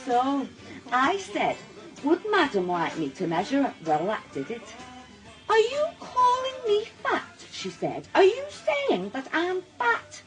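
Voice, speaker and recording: A friendly, excitable Northern English shop assistant in a radio play. She is high-pitched and extremely animated, chattering like someone relishing an anecdote. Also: measured pace, over music, full character voice, low-quality recording. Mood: amused indignation. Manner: animated anecdote-telling, mimics customers. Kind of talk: naturalistic British radio drama acting.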